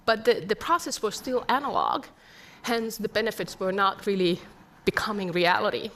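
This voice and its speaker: A woman's voice: medium pitch, moderate pace, a bit bright. Finnish woman giving a conference talk. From Finland. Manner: earnest, poses rhetorical questions. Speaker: conference speaker. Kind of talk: conference presentation.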